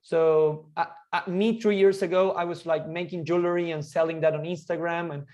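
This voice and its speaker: A man, talking eagerly and quickly as an interview guest, often restarting his sentences. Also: fairly high pitch, steady tone, darkish tone. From Argentina. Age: 20s. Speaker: guest entrepreneur. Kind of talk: casual interview chat.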